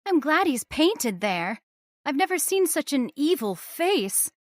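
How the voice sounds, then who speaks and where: A woman playing an excitable house guest who gasps at the grim story in a radio drama. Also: sits high, measured pace, no echo, clean studio sound. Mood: curious. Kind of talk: old-time radio drama acting.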